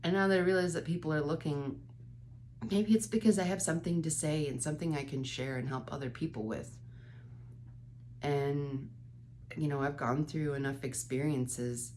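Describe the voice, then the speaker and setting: Stuffed-up woman with a very low voice. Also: a little mellow, slight background noise. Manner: candid, rambling, occasional swearing. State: stuffy, congested nose. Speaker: vlogger. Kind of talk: casual late-night reflective vlog.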